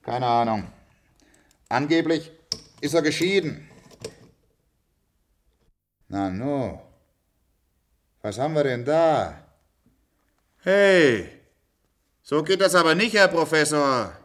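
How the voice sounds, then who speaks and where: A man's voice: fairly high pitch, big pitch swings, takes it slowly, pauses often. An actor playing a chummy, nosy handyman in a German science-fiction radio drama, talking in a blunt, colloquial way. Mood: scolding. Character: chummy, nosy institute handyman, matter-of-fact working man.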